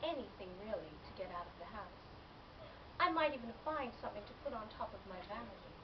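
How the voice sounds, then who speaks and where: A woman's voice: medium pitch, animated, a little fast. Noisy, distant recording of a woman reading. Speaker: author reading own novel excerpt. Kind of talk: scripted literary narration, first person. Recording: dull lo-fi, distant mic, loud background noise.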